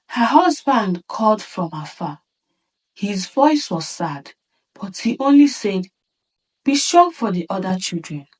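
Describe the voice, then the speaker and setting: A woman narrating a sad folktale from a script in a measured, dramatic way. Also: mid-range voice, distant mic, rough recording. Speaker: storyteller narrator.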